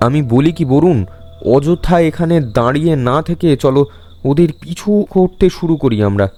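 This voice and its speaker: A young village man, voiced by a man in an animated horror tale keeps his voice firm and level. His voice sits slightly high. Music and rain play under him. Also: moderate pace, close to an everyday voice. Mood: steady. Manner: firm, then stuttering under fright. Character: steadier young village man, trying to keep calm. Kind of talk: animated horror story dub.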